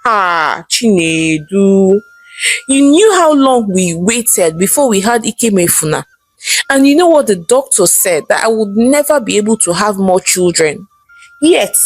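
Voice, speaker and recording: Woman acting a distraught Nigerian wife, swooping pitch. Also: big pitch swings, unhurried, faint music behind. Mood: reproachful, anguished. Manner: emotional, exclaiming, reproachful. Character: fearful, protective wife and mother.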